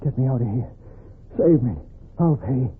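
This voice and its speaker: A man playing a cowardly, scheming captive in a 1940s radio western, begging for his life in a husky, panicky whine. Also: mid-range voice, animated, moderate pace, narrow, tinny audio. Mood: terrified. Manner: whining, panicky, desperate begging.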